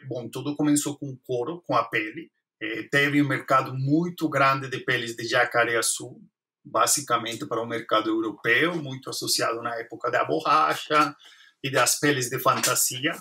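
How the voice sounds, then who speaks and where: A man's voice: mid-range voice, fairly even intonation, moderate pace, sharp tone. A man with a Colombian accent, a Spanish speaker talking Portuguese, explaining as a biologist in an interview. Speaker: biologist researcher.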